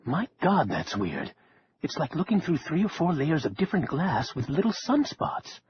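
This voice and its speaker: A man's voice: a little high, wildly swooping pitch, normal speed. A man voicing a nervous, eager, bookish librarian in an audiobook, with a fussy manner. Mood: puzzled, earnest. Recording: dull lo-fi audio, faint noise.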